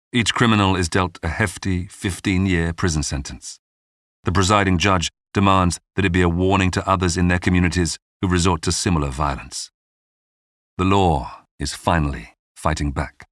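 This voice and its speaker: A man narrating a scripted true-crime history documentary in a measured, dramatic storytelling manner. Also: sits low, dry recording, studio quality.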